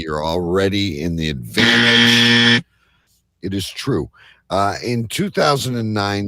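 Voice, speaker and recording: A man's voice: lowish voice, vocal fry, sharp tone, no room sound. A man hosting a live quiz show, announcing to the audience like a showman. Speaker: game show host.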